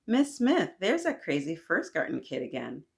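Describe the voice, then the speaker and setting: A woman's voice: low voice, huge pitch swings, moderate pace, vocal fry, heavily acted. A woman voicing taunting, tattling kindergarten children in a storybook, with a teasing sing-song manner. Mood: jeering.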